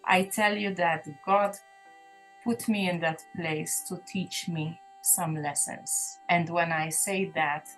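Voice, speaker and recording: Earnest woman, low voice. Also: steady tone, slow, soft background music, low-quality recording. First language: Maltese. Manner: earnest, reflective testimony with restarts. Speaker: gospel singer, guest. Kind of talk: casual interview chat.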